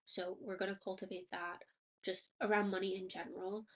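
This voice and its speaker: A woman's voice: medium pitch, flat delivery, fairly quick. A woman hosting a personal finance podcast, sounding a bit stuffy and nasal from allergies, upbeat and motivational with casual fillers. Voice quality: slightly nasal. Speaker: podcast host. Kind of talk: scripted podcast monologue.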